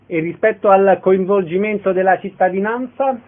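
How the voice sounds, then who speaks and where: Higher-voiced male radio interviewer, thin sound. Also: moderate pace. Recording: narrow, phone-like radio sound.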